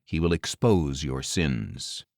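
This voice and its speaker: A man narrating scripture as an audiobook, with a solemn, measured delivery and deliberate phrasing. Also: very deep voice, lively intonation, gravelly, a little mellow, no echo, studio quality.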